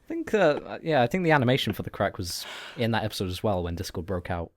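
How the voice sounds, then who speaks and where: A young man with a lowish voice chats informally as a co-commentator on animation. The sound is dry, without room echo. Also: big pitch swings, fast. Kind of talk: casual commentary.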